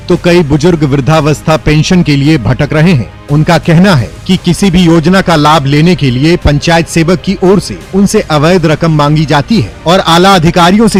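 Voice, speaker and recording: A man reading scripted regional news stories as a voice-over presenter. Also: on the high side.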